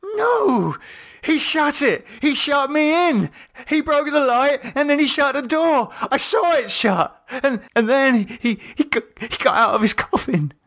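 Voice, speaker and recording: A terrified man trapped in the dark in a horror audiobook. His voice is pitched very high and strained with panic, frantic and stammering, swinging wildly as he raves and swears. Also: expressive, a little slow, full character voice. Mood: hysterical, furious. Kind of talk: audiobook character voice.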